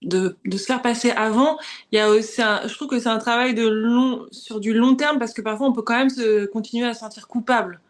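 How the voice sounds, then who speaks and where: Higher-voiced woman, hesitant reflection. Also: fairly even intonation, a little fast. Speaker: workshop participant.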